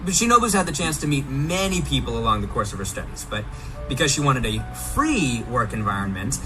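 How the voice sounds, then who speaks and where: Animated man presenting over music. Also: mid-range voice, fairly quick, low-quality recording. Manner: theatrically enthusiastic, playful. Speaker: game showcase presenter. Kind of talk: semi-scripted promotional presentation. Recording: music behind, played within a reaction video. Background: clear music.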